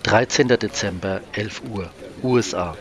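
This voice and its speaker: A man's voice: fairly low pitch, moderate pace. A man narrating a scripted German science-fiction audio drama, announcing scenes and describing the action. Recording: music under the narration.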